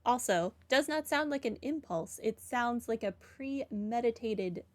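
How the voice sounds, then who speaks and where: A woman's voice: on the high side, lively intonation, measured pace. A woman giving casual, wry commentary in short dry asides as she plays a game. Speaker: game player.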